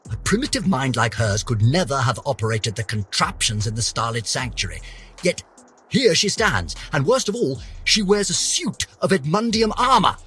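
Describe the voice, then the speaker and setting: A man's voice: mid-range voice, sing-song, normal speed, fairly bright tone, husky, soft background music, heavily acted. An actor voicing a pompous, vain older British scientist, theatrically narrating his journal for a game with haughty, florid melodrama. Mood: haughty disdain, then outraged. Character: pompous, vain, aging male scientist, indignant and obsessive.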